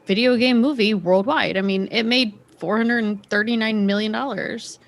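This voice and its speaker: A woman's voice: on the high side, steady tone, normal speed. A woman hosting a casual gaming podcast, quizzing her co-hosts and laughing often. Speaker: podcast host. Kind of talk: casual chat.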